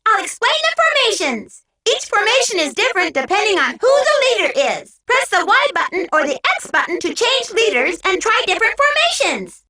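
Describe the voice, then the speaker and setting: A woman voicing a small, cheerful, childlike robot guide in a video game, giving perky, clearly spoken instructions. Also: very high-pitched, sharp tone, full character voice. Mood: eager, teaching. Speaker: tutorial guide and menu announcer. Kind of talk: scripted video game prompts.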